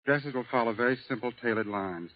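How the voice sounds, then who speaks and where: A man speaking as a guest expert on a radio show, measured and matter-of-fact, reading scripted answers, on a dull old broadcast recording. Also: mid-range voice.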